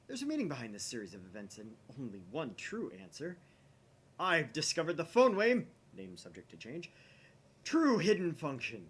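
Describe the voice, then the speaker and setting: A man voicing a grandiose young mad scientist in a visual novel, declaiming theatrically with pompous, dramatic pauses. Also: medium pitch, a bit bright, a little hiss or hum.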